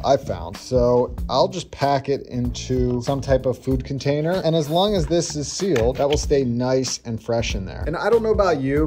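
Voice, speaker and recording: A man's voice: mid-range voice, mellow. A man in his thirties hosting a casual cooking tutorial in his home kitchen, upbeat and chatty as he explains each step into a lapel mic. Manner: upbeat, conversational, explains as he cooks. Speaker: cooking channel host.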